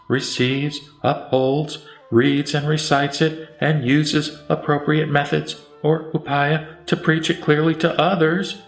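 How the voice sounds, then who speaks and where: A man reciting scripture as a scripted narration, with measured, solemn phrasing. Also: medium pitch. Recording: roomy echo, music underneath. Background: background music.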